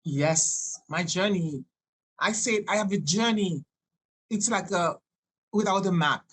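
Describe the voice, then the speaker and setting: A woman in her sixties from Haiti, a native French and Creole speaker with a Haitian accent, telling personal stories in a halting, digressive way as a podcast guest. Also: on the low side, slightly rough. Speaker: podcast guest, doctor and healer. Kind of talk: conversational personal storytelling.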